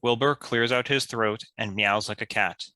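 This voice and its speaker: A man's voice: lowish voice, normal speed, bright, forceful tone, clean tone, dry recording. A man reading scripted stage directions as a narrator. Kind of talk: scripted narration of screenplay action.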